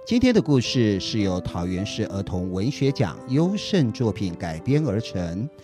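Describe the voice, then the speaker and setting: A man's voice: on the low side, sing-song, normal speed. A man with a Taiwanese Mandarin accent narrating a scripted introduction to a story for children. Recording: music behind the voice. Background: music.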